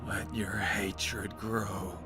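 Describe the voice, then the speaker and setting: A man's voice: lowish voice, flat delivery, extremely slow. A man voicing a cold, taunting darkness that possesses a young man, half-whispered and menacing, in a game fan dub. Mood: cold menace. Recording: very loud music over the voice.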